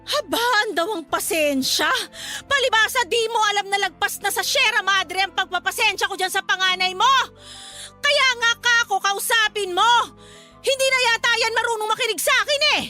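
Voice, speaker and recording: A woman's voice: extremely high pitch, moderate pace, faint music behind. A woman playing an exasperated, overworked mother with a Filipino accent, nagging sharply in a radio drama. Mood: bitter, irritated. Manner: nagging, sharp-tongued, emphatic rhetorical questions.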